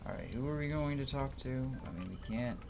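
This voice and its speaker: A man's voice: medium pitch, expressive, a little fast, vocal fry, over music. A man hosting a livestream, giving casual commentary as he plays a game. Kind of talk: casual gameplay commentary, reading dialogue aloud.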